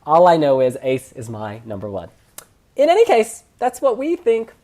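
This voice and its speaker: A man hosting a casual review chat, sharing opinions in an expressive way with emphatic phrasing. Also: a little high, moderate pace. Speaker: host reviewing song contest entries.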